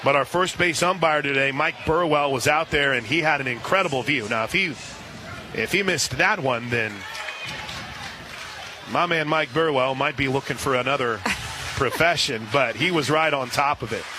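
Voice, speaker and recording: A man doing live radio play-by-play of a softball game, excitable on big plays. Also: medium pitch, moderate pace, noisy background.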